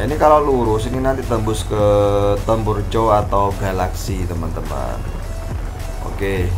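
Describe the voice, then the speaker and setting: A young man giving casual commentary to his viewers while riding a motorbike outdoors, chatty, with pauses and fillers, recorded on a camera mic. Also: lowish voice. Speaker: motovlogger. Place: outdoors on a moving motorbike, rural roads. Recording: music playing under the voice. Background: music and motorbike engine.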